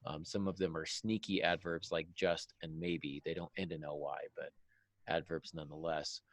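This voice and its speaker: A man's voice: a bass voice, little pitch movement, moderate pace, no room sound. A man talking casually as a podcast host, with a self-deprecating, joking manner. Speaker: podcast host and fiction writer. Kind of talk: casual podcast discussion of writing tips.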